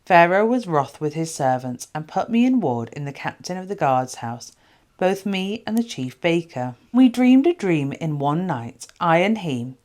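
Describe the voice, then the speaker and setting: A woman's voice: on the low side, very animated, a little slow, darkish tone. A woman reading the part of a male royal servant eagerly recounting events in a scripture story, with emphatic storytelling. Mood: confessing, earnest.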